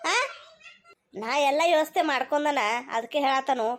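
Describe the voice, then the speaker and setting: Woman playing a high-pitched bossy cartoon mother-in-law, North Karnataka accent. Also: normal speed, slight background noise, lightly acted. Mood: bossy, insistent. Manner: scolding, insistent. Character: bossy, stubborn, mango-greedy mother-in-law. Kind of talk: cartoon comedy acting.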